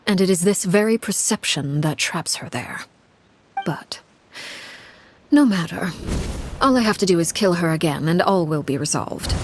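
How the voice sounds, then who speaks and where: A woman voicing a cold, imposing female head of household called Father in a video game, speaking in a measured, formal, menacing way. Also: lowish voice, close to an everyday voice. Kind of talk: scripted video game acting. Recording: clear background noise with clicks.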